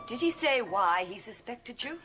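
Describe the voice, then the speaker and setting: An actress playing a calculating, questioning hostess with an occult bent in a gothic television soap, speaking deliberately. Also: female voice, fairly high pitch, big pitch swings, music in the background. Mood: probing.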